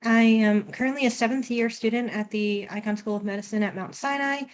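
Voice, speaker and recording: A woman's voice: fairly high pitch, fairly even intonation, a little fast. A young woman introducing herself casually as a student panelist on a video call. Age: young adult.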